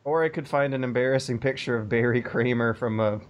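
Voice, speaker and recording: Man, podcast host, middle pitch, musing, hesitant restarts, home video call, casual chat. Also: a little fast, dull audio.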